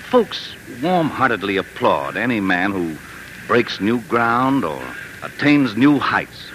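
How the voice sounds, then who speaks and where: Folksy, forceful small-town father, old radio. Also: lowish voice. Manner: homespun, emphatic speechmaking. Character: folksy, stubborn small-town father, middle-aged, earnest. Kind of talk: 1940s radio acting. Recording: noisy vintage 1940s radio recording.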